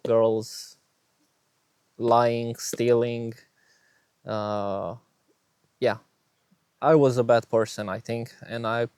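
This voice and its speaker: A man's voice: medium pitch, darkish tone, no room sound. A young man with a Romanian accent, speaking English as a second language, sharing a personal faith story in a hesitant vlog with many fillers and restarts, outdoors on a windy day. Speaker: vlogger.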